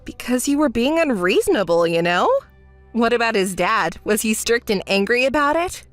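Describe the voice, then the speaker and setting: A woman voicing a calm, reproachful young ex-wife in a melodramatic story, in a cool, measured, dismissive manner. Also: fairly high pitch, no echo, faint music behind, voice pushed down, lightly acted. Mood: stern, reproachful.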